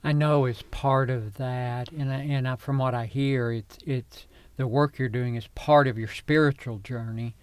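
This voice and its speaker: A man, a reverend, hosting an interview show and introducing his guest with a slightly halting manner. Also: medium pitch, fairly even intonation, clear, resonant tone, no echo. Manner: warm, slightly halting, repeats words. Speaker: host, reverend.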